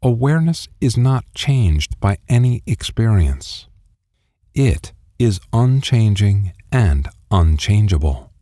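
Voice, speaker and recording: A man reading a scripted spiritual text as an audiobook narrator, with deliberate, measured phrasing. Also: lowish voice, dark tone, no echo, clean studio sound. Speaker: audiobook reader.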